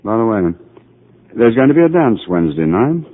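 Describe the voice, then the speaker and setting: A calm, patient psychiatrist. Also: male voice, mid-range voice, a little fast. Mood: reassuring, gentle. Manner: gentle, patient, explaining. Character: calm, patient middle-aged male psychiatrist. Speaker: narrator and doctor. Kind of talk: 1940s radio acting. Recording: tinny old radio broadcast.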